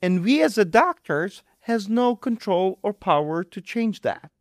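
A man's voice: high voice, animated, unhurried, dry recording, studio quality. A middle-aged man from Ukraine with a Ukrainian accent, explaining things emphatically in a conversational interview as a guest surgeon.

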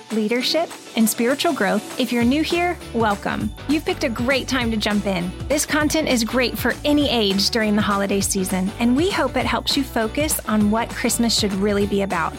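A woman reading a scripted podcast intro in a warm, welcoming way. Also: on the high side, expressive, normal speed. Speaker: podcast announcer. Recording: voice over music. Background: music.

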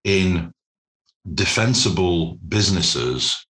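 A man speaking as a business interview guest, explaining things formally and deliberately, with measured phrasing and pauses. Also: sits low, animated, a bit bright. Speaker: interview guest, private equity managing director.

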